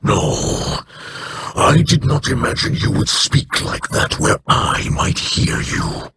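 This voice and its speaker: An actor playing a stern, threatening alien male warrior in a video game, with a deep gravelly voice. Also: big pitch swings, slow, sharp tone, a little hiss or hum, heavily acted. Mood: menacing.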